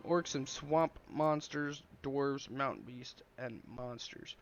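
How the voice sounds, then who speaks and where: A man with a slight cold giving relaxed, rambling gameplay commentary over a strategy game, full of ums and uhs. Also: medium pitch, takes it slowly. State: has a slight cold, sniffling. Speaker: gameplay video creator. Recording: dull lo-fi audio.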